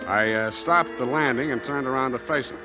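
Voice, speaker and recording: An actor playing a wry, street-wise private detective, deadpan and wisecracking, in 1940s noir radio style. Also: male voice, medium pitch, brisk, lightly acted. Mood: wry, narrating. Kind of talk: 1940s radio acting, first-person noir narration. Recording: muffled old radio broadcast with music.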